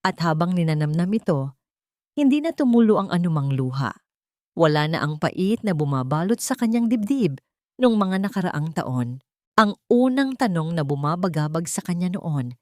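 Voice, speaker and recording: A woman with a Filipino accent reads a scripted dramatic story in a measured, earnest, literary manner. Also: fairly low pitch, a little mellow, no echo, studio quality. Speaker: story narrator.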